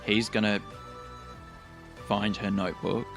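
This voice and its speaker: A man playing a tabletop role-playing game, improvising his narration casually in halting bursts. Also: on the low side, level tone, over music.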